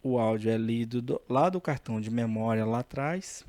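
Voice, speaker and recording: A man with a Brazilian accent giving an informal, step-by-step technical demonstration in a halting, explanatory way. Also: mid-range voice, a little mellow, no echo. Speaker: electronics tutorial presenter.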